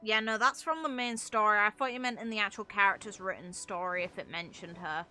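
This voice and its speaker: A woman's voice: mid-range voice, a little fast, bright, forceful tone. A woman chatting casually and bluntly on a live game stream, with some swearing. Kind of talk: casual live-stream chat while gaming. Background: video game music.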